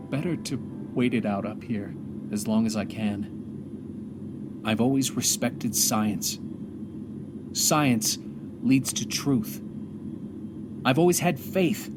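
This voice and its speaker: A man playing a stranded astronaut commander, reflective and hopeful, delivering a solemn, measured audio drama monologue with pauses. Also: medium pitch. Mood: earnest. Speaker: narrator and lead of an audio drama. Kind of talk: dramatic first-person monologue. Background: music.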